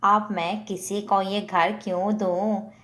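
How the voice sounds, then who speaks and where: A woman's voice: medium pitch, little pitch movement, slow, fairly bright tone. A woman voicing a proud, blunt mother crow in a children's cartoon. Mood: haughty.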